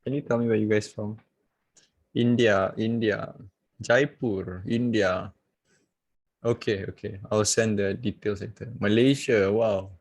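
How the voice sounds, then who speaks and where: Low-voiced man coaching live trading. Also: measured pace. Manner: relaxed, chatty, laughs often, talks to the viewers. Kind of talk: casual live-stream trading lesson. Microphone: video call.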